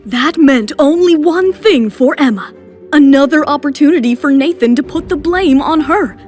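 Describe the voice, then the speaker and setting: Female narrator, high pitch, dramatic scripted storytelling, faint music behind. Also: expressive, moderate pace, darkish tone.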